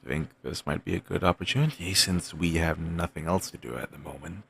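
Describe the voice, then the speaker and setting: A man playing a wistful, self-doubting wandering bard in an intimate audio roleplay, musing in courteous, formal phrases with thoughtful pauses and a gravelly, husky voice. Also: deep voice, a little hiss or hum. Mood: warm, curious. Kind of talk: intimate one-sided audio roleplay acting.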